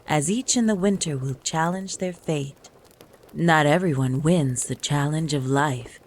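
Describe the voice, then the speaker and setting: A woman storyteller reading a rhyming Christmas poem in a measured, lilting delivery. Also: low voice, a little mellow, slight background noise. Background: music.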